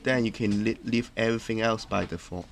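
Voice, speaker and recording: Male tutorial narrator, lowish register, plain halting instructional delivery, slightly noisy recording. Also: steady tone. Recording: clearly noisy background.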